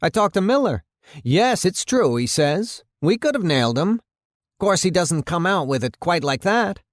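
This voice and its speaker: A man's voice: sits high, sing-song, normal speed, dry recording, studio quality, pitched above the performer's own voice. A man voicing a blustering, angry city mayor in an indignant, hectoring, emphatic way for an audiobook. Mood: accusing, exasperated.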